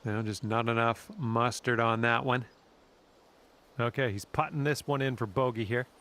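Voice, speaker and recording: A man's voice: mid-range voice, fairly even intonation, normal speed, a bit bright. A man giving informal, colloquial golf commentary in the style of a sports video game. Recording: clear background noise.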